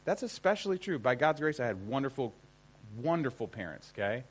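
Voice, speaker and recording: A man's voice: medium pitch, sing-song, fairly quick, husky, poor audio. Conversational American man teaching a seminar. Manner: conversational, emphatic, often checks in with listeners. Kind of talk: informal seminar lecture to an audience.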